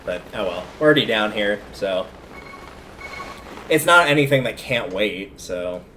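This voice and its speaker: A man's voice: fairly low pitch, lively intonation, unhurried, pushed and bright, slight background noise, low-quality recording. A man giving casual livestream commentary while playing a video game, rambling a bit as he thinks aloud. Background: video game music.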